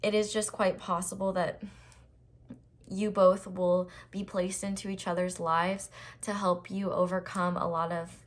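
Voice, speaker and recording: A woman with a slightly raspy voice doing a casual, reassuring tarot reading to camera, with frequent ums and restarts. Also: on the low side, little pitch movement, unhurried. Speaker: tarot reader.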